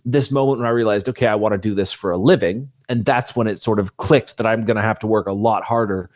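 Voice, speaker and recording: A man's voice: lowish voice, quick pace, a little mellow, dry recording, clean studio sound. A man speaking as a guest author in a conversational interview, explaining things earnestly.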